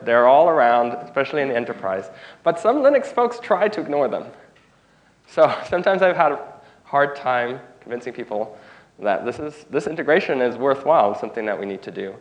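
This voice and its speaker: A man's voice: mid-range voice, lo-fi sound. Man talking with a stuffy cold. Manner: informal, restarts phrases, engages audience. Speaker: conference speaker. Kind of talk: technical conference talk. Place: conference room.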